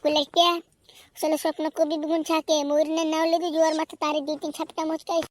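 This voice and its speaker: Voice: flat delivery, moderate pace, heavy background noise, lightly acted. A performer voicing an emphatic, bossy little cartoon rascal. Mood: scolding, advising. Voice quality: squeaky, artificially high voice. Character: squeaky, scolding cartoon buddy and comic small-time rascal. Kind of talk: amateur comedy cartoon dub.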